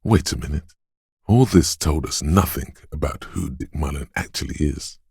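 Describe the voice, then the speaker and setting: A man voicing a detective's quick-witted inner voice in a video game, narrating in a measured, deliberate way with short, wry, alert asides. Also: a bass voice, vocal fry, a little mellow, slightly rough, dry recording, clean studio sound, full character voice. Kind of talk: scripted game narration, second-person inner voice.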